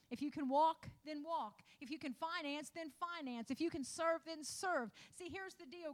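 A woman pastor preaching a sermon in a repetitive, rising cadence that builds toward shouts. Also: sits high.

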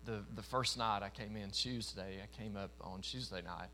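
Southern preacher from East Tennessee. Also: male voice, on the low side, normal speed, a little hiss or hum. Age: 40s. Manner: folksy, emphatic, bursts of louder preaching. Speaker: traveling preacher. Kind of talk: sermon. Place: church meeting in front of a congregation.

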